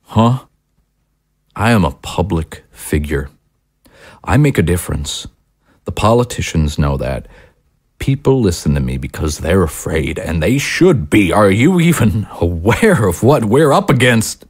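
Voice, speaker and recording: A man's voice: sits low, sing-song, unhurried, slightly rough, exaggerated voice. A man playing a self-righteous, paranoid talk-show host husband in an audio drama, ranting and condescending. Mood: self-important. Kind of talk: dramatic audio screenplay acting.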